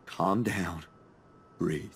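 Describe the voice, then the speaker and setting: An actor playing a weary, haunted young man in a visual novel, speaking in a hushed way. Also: sits low, extremely slow, a little hiss or hum, full character voice. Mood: self-calming. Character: weary young man haunted by his past, self-soothing.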